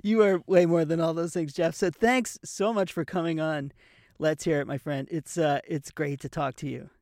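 Podcast host guy, kinda high voice, laughs a lot, fumbles words, dry mic. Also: fairly even intonation, normal speed, darkish tone, no room sound. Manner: friendly, laughs often, stumbles over words. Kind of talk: conversational podcast interview.

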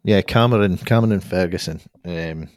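A man's voice: low voice, normal speed, darkish tone, no echo, studio quality. A man co-hosting a casual football podcast, thoughtful and hedging, with many restarts.

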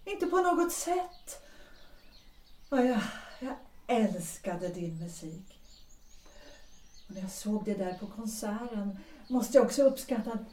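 An actress playing an ardent, earnest former opera singer in love, speaking with dramatic romantic phrasing in a period audio drama. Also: female voice, mid-range voice, animated, pauses often, mellow, a little hiss or hum, lightly acted. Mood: tender, reminiscing. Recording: roomy, distant from the mic.

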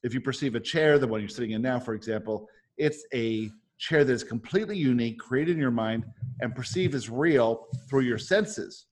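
A man's voice: medium pitch, moderate pace. A man hosting a discussion in a casual, explanatory way, as if thinking his points through aloud. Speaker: host of a book club discussion. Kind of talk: casual spiritual self-help discussion with some reading aloud.

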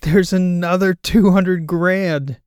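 A man voicing an excitable male podcast host who shouts in disbelief. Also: fairly high pitch, slow, no echo, clean studio sound, pitched above the performer's own voice. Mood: shocked. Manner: loud, exclamatory.

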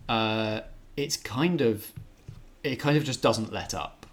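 A man's voice: mid-range voice, slow. A man hosting a casual film review chat, speaking in a thoughtful, slightly hesitant way as he works through his opinions.

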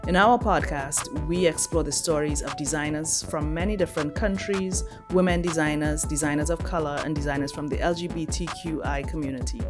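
A woman with a low voice opens a podcast, reading her scripted introduction in a measured, deliberate way. Clear music plays underneath her words. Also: fairly even intonation. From Trinidad and Tobago. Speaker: podcast host and design professor.

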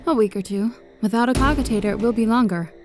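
An actress playing a devout, confident machine-cult tech priest with a solemn, formal manner in an audio drama. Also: female voice, fairly high pitch, fast, darkish tone, lightly acted. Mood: clinical, encouraging. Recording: faint music behind the voice.

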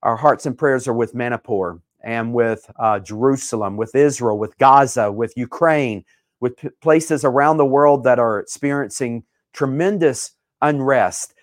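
Upbeat host with a dry, close sound. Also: male voice, medium pitch, unhurried, no room sound. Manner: upbeat, shouting out names with fillers. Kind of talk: live show greeting viewers.

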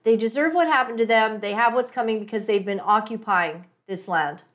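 A woman's voice: a little high, fairly quick. A woman hosting a podcast, asking her guest questions in a casual, hesitant way with many fillers. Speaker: podcast host.

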